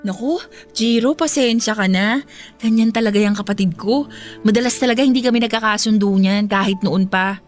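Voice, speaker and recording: A woman performs a provoking Filipino older sister with put-on sweetness. Her voice is medium in pitch, played in radio drama style with faint music behind. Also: brisk, close to an everyday voice. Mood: falsely apologetic.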